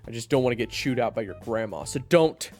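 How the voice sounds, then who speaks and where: A man's voice: medium pitch, expressive, fast talker, a little mellow, faint music behind, pitched above the performer's own voice, lightly acted. A man playing an abrasive, impatient monster hunter in an audio roleplay, blunt and sarcastic with a grudging protective streak. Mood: teasing, gruff. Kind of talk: audio roleplay acting addressed to the listener.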